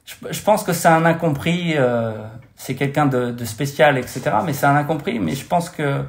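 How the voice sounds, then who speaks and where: A man's voice: fairly high pitch, normal speed, gravelly. A French man with a slight American-tinged accent, a guest chatting casually over a remote call, often losing his words and restarting. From France. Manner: searching for words, many restarts and fillers. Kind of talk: casual interview chat. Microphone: remote call over computer.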